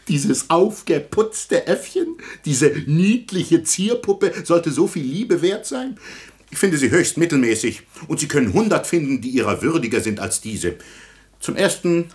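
An actor playing an earthy, wry manservant in a German radio comedy from 1960, with droll, theatrical mock indignation. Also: male voice, on the high side, very animated, unhurried, darkish tone. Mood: disparaging. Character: earthy, wry manservant echoing his master.

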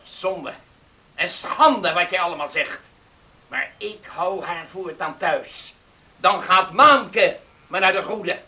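A slow, sharp-voiced, stern village patriarch. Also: male voice, high voice, animated, distant mic, exaggerated voice. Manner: scolding, emphatic, repeats himself. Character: stern, pious, indignant bearded village patriarch. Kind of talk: radio drama acting.

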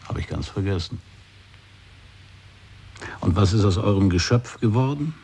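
A husky-voiced skeptical man. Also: on the low side, animated, a little slow, darkish tone, full character voice. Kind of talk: 1980s radio play acting.

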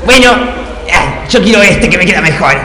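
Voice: mid-range voice, lively intonation, moderate pace, a crowd in the background, close to an everyday voice. A performer playing a demanding, fussy cloned cell in improvised stage comedy, insistently repeating its demands. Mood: fussy, bossy. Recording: echoey hall, music playing behind.

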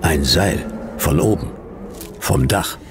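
An actor playing a laconic, wary hard-boiled detective in a German sci-fi radio drama, narrating in terse, dry, clipped phrases. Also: male voice, slow, noisy background. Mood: terse narration. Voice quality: very deep, gravelly.

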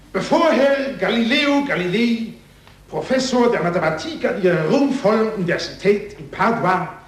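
An actor playing a pompous, ceremonious university official declaiming in a 1940s radio drama. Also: male voice, sits high. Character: pompous male university official addressing Venetian nobles. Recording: echoey 1940s radio recording.

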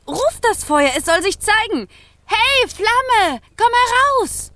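Children's radio play: a tiny living flame, eager and coaxing, very high voice, unhurried. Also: female voice, sing-song, bright, forceful tone, slight background noise, heavily acted. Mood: teasing, bold. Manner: exclamatory, coaxing, wide-eyed. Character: small eager living flame, female, bold and yearning.

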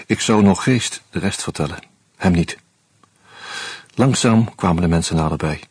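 Very husky low-voiced male narrator. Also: dry recording. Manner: calm, measured, subdued storytelling. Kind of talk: first-person novel read aloud.

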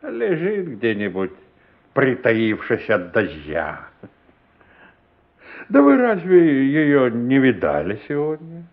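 An actor playing a gruff, plain-spoken household cook in a radio play, offhand, dismissive and drawling. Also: male voice, medium pitch, wildly swooping pitch, slow pace, long pauses, exaggerated voice. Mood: dismissive, evasive. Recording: muffled, narrow-band radio play recording.

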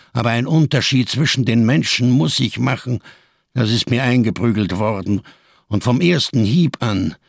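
Gravelly, embittered camp survivor. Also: male voice, mid-range voice, dry recording, studio quality. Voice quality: gravelly, husky. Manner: solemn, rhetorical, biblical diction, bitterly sardonic. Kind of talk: German radio crime drama monologue.

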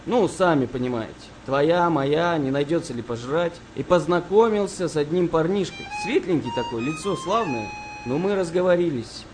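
An actor playing an earthy wartime sailor in a radio play, telling stories in an expressive, colloquial way. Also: male voice, a little high, normal speed, hardly any pauses, soft background music, lightly acted. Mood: reminiscing. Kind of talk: Russian radio play acting, storytelling.

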